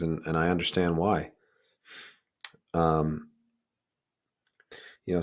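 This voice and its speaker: Man, low-pitched, hoarse and raspy, casual solo podcast monologue, halting, candid delivery. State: hoarse, recovering from lost voice. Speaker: podcast host.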